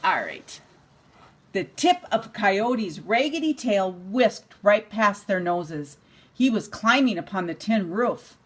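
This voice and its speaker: An older woman, a grandmother, reading a bedtime storybook aloud to a child in an expressive, unhurried way. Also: medium pitch, husky.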